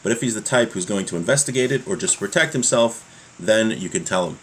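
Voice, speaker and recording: A man giving a religious law lesson, reading from a text and explaining it in a halting way, repeating his points. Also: on the low side, lively intonation, slight background noise, rough recording. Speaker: religious teacher.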